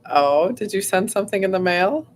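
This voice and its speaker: A woman hosting a casual livestream, her voice going hoarse, rambling chattily with lots of fillers and frequent throat clearing. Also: medium pitch, expressive, brisk. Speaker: host.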